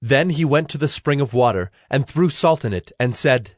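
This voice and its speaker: A man's voice: medium pitch, lively intonation, moderate pace, no room sound, studio quality. A man narrating scripture from a script in a solemn storytelling manner. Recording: background music under the voice.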